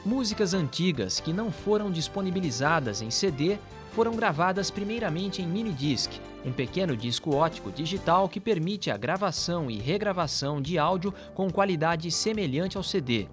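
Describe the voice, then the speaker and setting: A man's voice: fairly high pitch, animated, moderate pace, hardly any pauses, a bit bright. A man with a Brazilian accent reads scripted documentary narration. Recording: music playing behind the voice. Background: background music.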